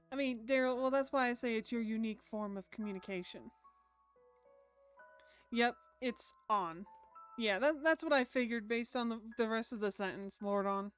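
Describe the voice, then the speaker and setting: High-pitched woman chatting on a game stream. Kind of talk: casual live stream chat mixed with reading a visual novel aloud. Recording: music playing behind the voice. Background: background music.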